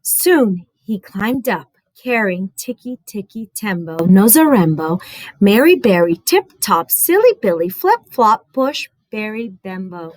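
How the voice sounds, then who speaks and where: A woman reading a story aloud to young children, expressive and dramatic, with instructive explanatory asides. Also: medium pitch, a little slow, no room sound, rough recording.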